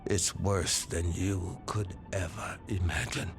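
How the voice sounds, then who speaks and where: A bitter old crime patriarch speaks with weary, cold contempt in a low voice. Faint music plays under the game cutscene. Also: male voice, steady tone, slow, creaky, full character voice. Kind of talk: video game cutscene acting.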